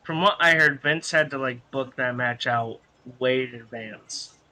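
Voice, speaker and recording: A man's voice: mid-range voice, measured pace, pushed and bright. A man from the United States co-hosting a wrestling podcast, chatting in a brash, sweary, argumentative way. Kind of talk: casual heated panel chat.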